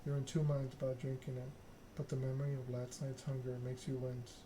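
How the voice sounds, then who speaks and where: A man narrates a story game as ASMR, reading in a measured storybook manner. His pitch is middling and stays very even, with clear noise behind the voice. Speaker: let's play host reading game text aloud. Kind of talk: ASMR narration of a visual novel.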